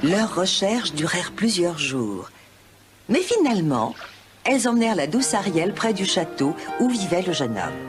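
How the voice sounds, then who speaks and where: A woman narrating a children's animated fairy tale as a scripted storyteller. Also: on the low side, normal speed, over loud music.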